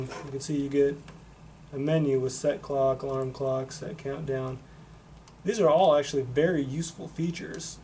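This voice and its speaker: Guy casually walking thru product features, pretty flat matter of fact voice, bit of noise behind him. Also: medium pitch. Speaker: product reviewer and demonstrator. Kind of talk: casual product demonstration, unscripted. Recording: noticeable background noise.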